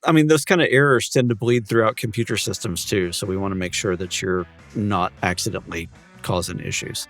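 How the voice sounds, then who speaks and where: A man's voice: on the low side, brisk, fairly bright tone, soft background music. A man co-hosting a podcast in a casual, conversational style. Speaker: podcast co-host.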